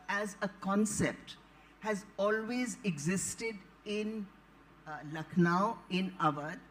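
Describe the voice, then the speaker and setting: An elderly woman with an Indian accent speaks as an author on a live panel. She tells her stories in a deliberate, articulate manner, often wandering into side remarks before returning to the point. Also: medium pitch, poor audio. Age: late 70s. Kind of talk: anecdotal storytelling at a live panel.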